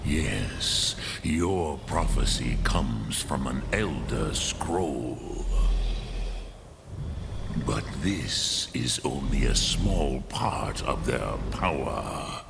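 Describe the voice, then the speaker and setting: An actor playing an ancient, wise and patient dragon in a fantasy video game, speaking slowly and solemnly with a gravelly growl and archaic phrasing. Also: male voice, animated, heavy background noise, heavily acted. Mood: solemn, explanatory. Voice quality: very deep, gravelly, breathy growls. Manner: slow, solemn, deliberate, archaic phrasing with foreign words. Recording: loud music under the voice. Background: loud game music.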